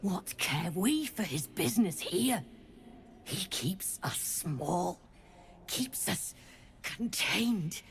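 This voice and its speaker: Giant spider zealot, game creature, female, drawn-out, very animated pitch, seductive preaching. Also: a little hiss or hum, full character voice. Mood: fervent.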